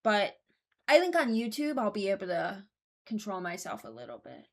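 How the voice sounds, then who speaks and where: A woman's voice: medium pitch, wildly swooping pitch, normal speed. A woman giving casual, rambling commentary as a YouTuber, frank and mock-serious, often hesitating as she goes. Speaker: YouTuber ranking game voice lines.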